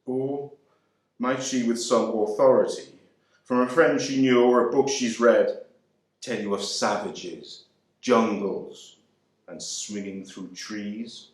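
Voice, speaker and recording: A man performing a dramatic literary monologue in a measured, deliberate, emphatic way. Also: on the low side. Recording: very echoey room.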